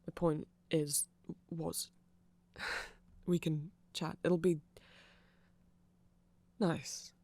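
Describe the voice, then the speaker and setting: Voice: medium pitch, flat delivery, extremely slow, pauses often, a little mellow. A performer playing a nerdy, polite vampire shopkeeper in an ASMR roleplay, hesitant and stammering, rambling apologetically in casual chat. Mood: shy, rambling.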